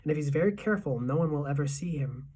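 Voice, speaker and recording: A woman's voice: low voice, lively intonation, quick pace, mellow, breathy edge, far from the mic. A woman voicing a clever young boy turned mouse, speaking earnestly and carefully in a storybook.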